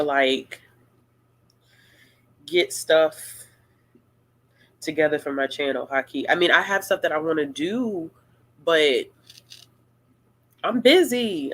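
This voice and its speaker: A woman hosting a casual live-stream Q&A, talking in a relaxed, rambling and playful way. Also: fairly low pitch. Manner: laid-back, rambling, playful. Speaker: booktuber host of a live Q&A.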